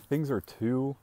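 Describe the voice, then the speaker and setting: A young man hosting a casual live stream, talking in a calm, even monotone, slow and reassuring toward his viewers. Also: mid-range voice. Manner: speaks slowly and reassuringly to viewers.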